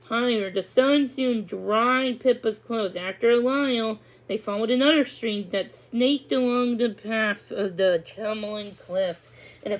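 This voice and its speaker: A woman with a fairly high voice narrates a children's storybook. She reads it aloud as a story, occasionally stumbling over a word and repeating it. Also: rough recording. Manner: stumbles and rereads words. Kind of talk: read-aloud storybook narration with acted dialogue.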